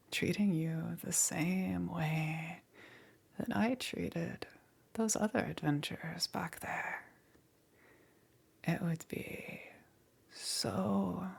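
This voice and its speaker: A woman playing a smug, teasing demon queen in an ASMR roleplay, with a breathy, whispery voice and a taunting, seductive menace. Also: fairly low pitch, exaggerated voice. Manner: slow, taunting, punctuated by soft chuckles.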